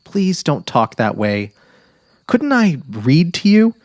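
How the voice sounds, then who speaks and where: A man's voice: fairly high pitch, sing-song, slow, darkish tone, no echo, voice pushed up. A man voicing an anxious, pleading wife of a dying writer in a dramatic literary reading. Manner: pleading, nervous, insistent.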